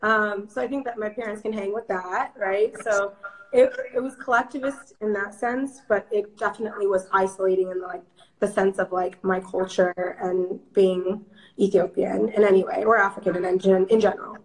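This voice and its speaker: A woman in her thirties with a Minnesotan accent talks in a lively, slangy way. Her voice sits at a middle pitch and sounds easy and casual. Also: normal speed, low-quality recording. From Iowa, USA. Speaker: guest, media founder. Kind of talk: casual interview.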